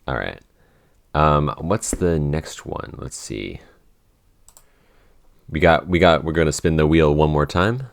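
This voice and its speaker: A man's voice: very deep voice, takes it slowly, vocal fry, long pauses. A young man in his twenties, co-hosting a casual Q&A chat and rambling with lots of "like" and restarts. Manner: rambling, full of "like" and restarts, easy laughs. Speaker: podcast co-host, writer and director. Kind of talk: casual behind-the-scenes Q&A chat.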